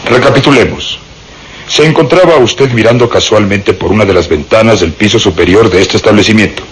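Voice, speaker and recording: A noisy radio drama recording carries a gruff, stout police inspector, played by a man. His voice sits on the low side, and he talks in a brusque, commanding, irritable way, like someone used to giving orders and getting answers. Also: fairly quick, close to an everyday voice. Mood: stern questioning. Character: obese, gruff, nervous, decisive male police inspector.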